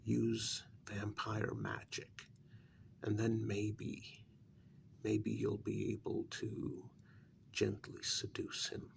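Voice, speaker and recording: Gravelly low male voice, acted, blunt exasperated advisor, breathy near-whisper, measured admonishing delivery, ASMR vampire role-play. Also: monotone, a little hiss or hum, heavily acted. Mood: firm, advising.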